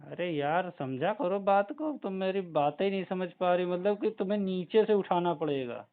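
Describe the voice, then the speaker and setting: A man's voice: sits high, animated, moderate pace, clean tone. Man acting hesitant brother-in-law on tinny phone. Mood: quizzing, chiding. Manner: hedging, repeats himself, frequent questioning hums. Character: older brother-in-law, hesitant, quizzing, mildly chiding. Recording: tinny narrowband phone-call audio.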